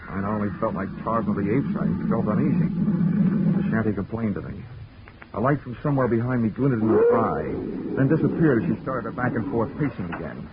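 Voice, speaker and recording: Actor as wry hard-boiled radio detective, muffled recording. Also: male voice, lowish voice, fairly quick, heavy background noise, close to an everyday voice. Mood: wry narrating. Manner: wry, deadpan. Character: wisecracking hard-boiled male insurance investigator. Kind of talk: 1940s radio acting, noir narration. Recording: dull old radio recording.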